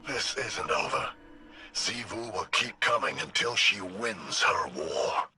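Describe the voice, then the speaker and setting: A man playing a gruff, exasperated alien ally in a video game, talking bluntly and urgently with heavy emphasis. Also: lowish voice, lively intonation, slow pace, loud music behind the voice. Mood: grim. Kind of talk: dramatic video game acting.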